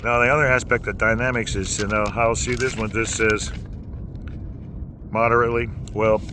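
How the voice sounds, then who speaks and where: A man with a low voice teaching singing in a folksy, rambling way, with many fillers and restarts. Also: flat delivery, moderate pace. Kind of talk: casual instructional talk. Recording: noisy background.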